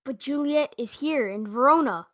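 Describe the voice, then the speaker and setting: A passionate, hot-blooded young lover played by a young woman in a stage play reading. The voice is fairly high and close, with little room sound, on a dull, lo-fi recording. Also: normal speed, fairly bright tone, no room sound. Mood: anguished. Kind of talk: student readers theatre performance. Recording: muffled lo-fi home recording.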